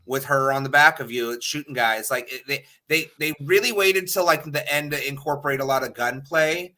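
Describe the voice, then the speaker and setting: A man's voice: mid-range voice, moderate pace, bright, forceful tone. A man chatting casually as a podcast co-host, giving his opinions freely. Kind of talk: casual chat.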